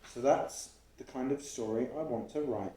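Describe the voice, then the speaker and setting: A man voicing an eager, imaginative young schoolboy from a storybook, reading with expressive emphasis. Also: mid-range voice, moderate pace, close to an everyday voice. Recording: roomy, echoey home recording.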